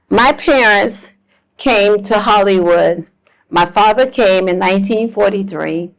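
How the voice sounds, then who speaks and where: A woman in her seventies from South Florida talks slowly and easily. She tells her story to a live audience in a homespun way, with filler ums and gentle jokes at her own expense. Her pitch sits in the middle. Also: low-quality recording. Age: 70s. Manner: slow, homespun, with filler ums and self-deprecating humor. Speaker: guest speaker. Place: lecture room with a live audience.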